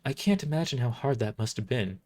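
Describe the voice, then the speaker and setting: A man voicing a gentle, kind, big-sisterly woman healer with a caring manner in an audio drama. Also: medium pitch, lively intonation, a little fast, natural voice. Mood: gentle, earnest. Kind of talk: amateur audio drama character reading.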